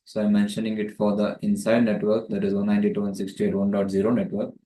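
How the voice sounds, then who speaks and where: Monotone man tutor in an echoey room. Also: fairly low pitch, fast. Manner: methodical, explanatory. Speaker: networking tutor. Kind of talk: step-by-step tutorial narration. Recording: roomy echo, distant microphone.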